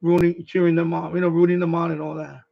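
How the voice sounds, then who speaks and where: A middle-aged man from New York with a New York accent, hoarse, chatting casually on his livestream and restarting his phrases as an eager sports fan. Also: on the high side, level tone, fast talker. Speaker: livestream host. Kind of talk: casual rambling chat. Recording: dull lo-fi stream audio.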